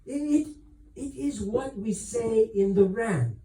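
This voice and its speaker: An earnest young servant woman, acted by a man reading a novel, speaks haltingly and with deference. The voice is raised and very soft in tone, with slow delivery, heard in a roomy space. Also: sits high, pitched above the performer's own voice. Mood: earnest, frustrated. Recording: roomy echo, distant microphone.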